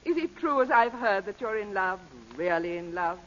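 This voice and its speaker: An actress playing a blunt, imperious aristocratic hostess in old-time radio style, commanding and teasing. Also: female voice, medium pitch, lively intonation, moderate pace, a bit bright, close to an everyday voice. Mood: blunt, brisk. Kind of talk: 1930s radio acting. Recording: dull old radio recording.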